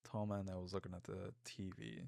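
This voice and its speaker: Low, gravelly, laconic man. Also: flat delivery, brisk, dry recording, clean studio sound. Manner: laconic, hesitant, quiet. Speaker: co-host reacting to the discussion. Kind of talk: casual low-key chat.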